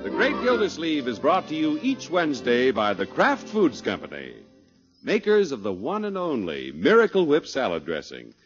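An American man announces on vintage radio with polished salesmanship. His pitch swings dramatically in a genial delivery. The sound is muffled, with music in the recording. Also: wildly swooping pitch, moderate pace. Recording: dull vintage radio broadcast.

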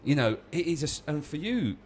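A man's voice: on the high side, big pitch swings, a little fast, a little mellow, slight background noise. A man hosting a casual podcast, telling stories animatedly and stumbling over his words now and then. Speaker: podcast host and wedding DJ.

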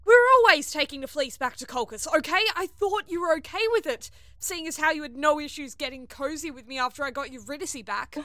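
A woman playing a frustrated, sarcastic young spacefaring heroine in an audio drama, expressive as she acts and narrates her own adventure. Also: on the high side, unhurried, no echo, studio quality. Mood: exasperated. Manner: sardonic, expressive. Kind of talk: audio drama acting with first-person narration.

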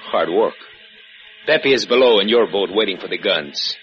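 Actor as a deep, courtly Spanish-accented villain. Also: male voice, animated, lightly acted. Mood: mocking politeness. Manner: courtly, unhurried, faintly amused menace. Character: suave, menacing Cuban-based revolutionary gunrunner, falsely courteous. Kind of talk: 1950s radio adventure acting. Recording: dull 1950s radio recording, music behind.

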